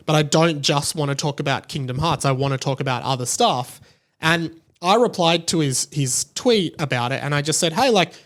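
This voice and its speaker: A man hosting a casual solo podcast, talking in a rambling, conversational way and often checking in with "right?". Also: a little high. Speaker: podcast host.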